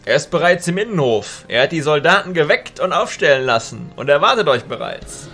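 A man plays a guild grandmaster in a fantasy audio drama. His husky, fairly high voice moves in lively swings as he reports. Music plays behind. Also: brisk, exaggerated voice. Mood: dutiful. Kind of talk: hobby audio drama character acting.

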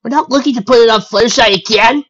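A woman's voice: fairly high pitch, quick pace, pitched above the performer's own voice, lightly acted. A woman voicing a brash, boisterous tomboy female pegasus with a cocky, exclaiming delivery for a story reading. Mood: dismissive. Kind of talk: fan fiction story reading. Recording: dull lo-fi recording.

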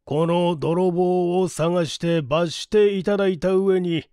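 Troubled, courteous gentleman father played by a male reader; formal, unhurried, smooth voice for audiobook mystery. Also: sits high, fairly even intonation, no room sound, studio quality. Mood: earnest, troubled. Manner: deliberate, formal explaining.

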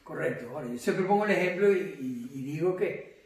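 Higher-voiced Venezuelan man, echoey and distant. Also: lively intonation, moderate pace. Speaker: interview guest. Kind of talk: casual chat. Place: indoor living room. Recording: echoey room, distant from mic.

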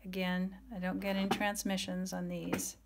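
A woman giving a casual product demonstration in a halting, matter-of-fact way. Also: fairly low pitch, monotone, creaky, heavy background noise. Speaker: seller demonstrating a vintage radio.

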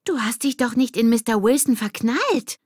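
A woman voicing spirited schoolgirls from a children's audiobook, animated and teasing. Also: fairly high pitch, moderate pace, husky, dry recording, studio quality, pitched above the performer's own voice. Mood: suspicious, teasing. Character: spirited, cheeky schoolgirls in a gang.

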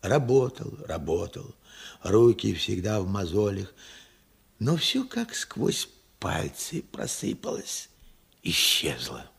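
An actor playing a regretful, stubborn old working man in a radio play, plain-spoken and reflective, with halting speech. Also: fairly low pitch, wildly swooping pitch, exaggerated voice. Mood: wistful, regretful. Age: elderly. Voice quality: husky, creaky old voice. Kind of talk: Soviet radio play acting.

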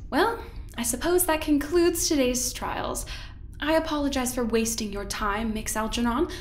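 An actress playing a cool, officious lab researcher with a formal, dry manner in an audio drama. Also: female voice, high voice, moderate pace, lightly acted. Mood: coolly displeased. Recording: roomy echo, faint noise.

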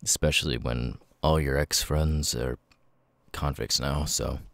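A man playing a nervous, grateful young outcast with vocal tics in an ASMR roleplay, speaking haltingly and repeating words. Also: fairly even intonation, gravelly, a bit bright, no room sound, full character voice. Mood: hesitant, weary. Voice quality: very deep voice with stuttering, sudden high-pitched tic outbursts.

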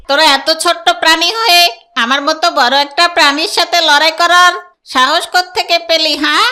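Bullying cartoon fox played by a woman: extremely high, bright voice, haughty and exclamatory. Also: lively intonation, a little slow, heavily acted. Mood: mocking. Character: boastful, bullying fox who fancies himself a scholar. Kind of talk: Bengali children's cartoon voice acting.

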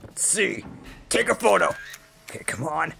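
Guy doing a cocky cartoon werehog hero, high swoopy voice w gravelly growls, excitable, music behind. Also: normal speed, full character voice. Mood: impatient. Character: cocky, gruff hedgehog hero turned werehog. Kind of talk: cartoon fandub acting.

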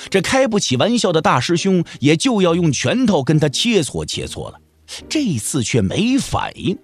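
A man delivering dramatic, expressive scripted narration for a wuxia audio drama. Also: on the high side. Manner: expressive storytelling.

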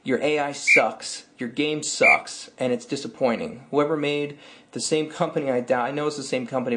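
Man, 30s, slightly hoarse, exasperated vlog rant, webcam, dim room. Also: medium pitch, fairly bright tone. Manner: exasperated complaining. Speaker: tech vlogger.

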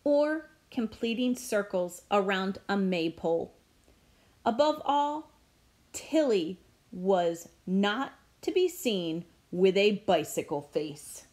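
A middle-aged woman reading a picture book aloud to children in an expressive, deliberate storytelling way. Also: medium pitch, roomy sound. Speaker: children's read-aloud presenter.

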